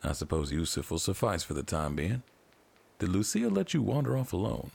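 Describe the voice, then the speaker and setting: A man's voice: sits low, huge pitch swings, a little fast, vocal fry, a little mellow, husky, heavily acted. A man playing a formal, self-assured heir to a governor in an audio drama, speaking with pompous polish. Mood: self-important.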